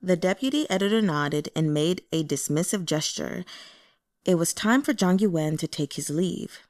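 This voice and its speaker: A woman narrating a novel as an audiobook reader, in scripted storytelling prose. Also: fairly low pitch.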